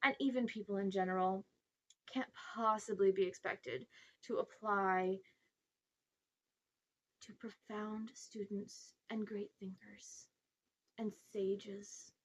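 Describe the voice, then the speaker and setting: A woman's voice: mid-range voice, fairly even intonation, takes it slowly, long pauses, breathy edge. A grandiose, self-important old uncle from a storybook, acted out by a woman reader. He talks down to his listener with sly evasions, like a man sure he is above ordinary rules. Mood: pompous, condescending.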